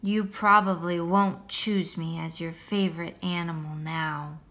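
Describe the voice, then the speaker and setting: Boastful but sweet little French snail for a storybook, voiced by a woman: bright, slow, dramatic delivery over faint music. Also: on the low side, steady tone, voice pushed down. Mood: sheepish. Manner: theatrical, deliberate, addressing the child listener. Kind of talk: picture book read-aloud.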